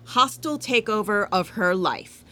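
A woman's voice: mid-range voice, lively intonation, normal speed, sharp tone. An actress playing a hopeful, teasing young woman in a comedic audio drama, talking in a casual, emphatic way.

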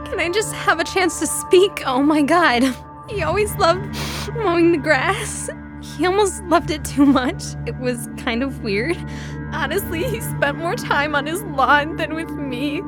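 A woman's voice: sits high, animated, measured pace, over music. A woman playing an upset, tearful girlfriend at a grief group in a comedic audio drama, whiny and plaintive.

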